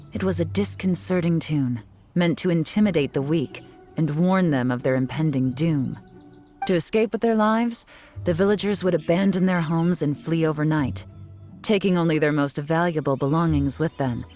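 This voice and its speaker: A woman's voice: sits low, a little mellow, lightly acted. An actress playing a self-assured, teasing woman investigator in a video game, speaking in a composed, wry manner. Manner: composed, wry, measured storytelling. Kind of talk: video game character dialogue. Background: music.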